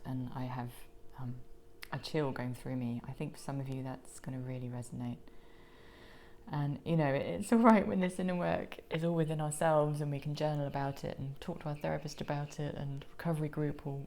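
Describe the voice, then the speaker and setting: A woman with a croaky voice giving a gentle pick-a-card tarot reading, slipping into soft whispers now and then. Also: deep voice, slight background noise. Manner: gentle, soothing, drops into whispers.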